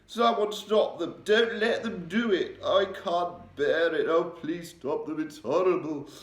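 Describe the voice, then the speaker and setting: A man's voice: sits high, animated, normal speed, voice pushed up. A man voicing an elderly, regal queen with a refined, upper-class manner, given to alarmed exclamations. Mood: pleading in sleep. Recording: roomy echo.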